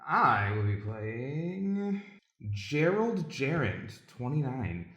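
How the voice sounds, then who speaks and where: A man's voice: medium pitch, big pitch swings, extremely slow, a bit bright, muffled recording. A man, an improv actor, casually introducing himself on a podcast. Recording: roomy, echoing room sound.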